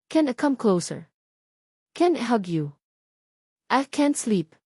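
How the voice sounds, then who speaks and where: A woman's voice: on the high side, big pitch swings, takes it slowly, darkish tone, breathy edge, no room sound, voice pushed up, heavily acted. A clingy, tender young man in love, acted out by a woman narrator with a Filipino accent. He speaks in an affectionate, pleading way, as in a romance audiobook. The sound is close and dry. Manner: pleading, affectionate, mixing English and Tagalog.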